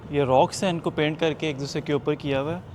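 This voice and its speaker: A man's voice: fairly high pitch, fairly even intonation, quick pace, darkish tone. A young man chatting casually to viewers as he walks through a busy tourist area. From Pakistan. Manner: chatty, jokey, talks to viewers while walking. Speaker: travel vlogger. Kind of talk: casual walking vlog narration. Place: outdoors at a busy tourist waterfront. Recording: outdoor street noise. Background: street noise and traffic.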